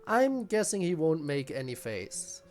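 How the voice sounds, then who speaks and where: A man's voice: a little high, big pitch swings, a little slow, music in the background. A man giving casual live game commentary in a blunt, dry manner. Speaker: game streamer.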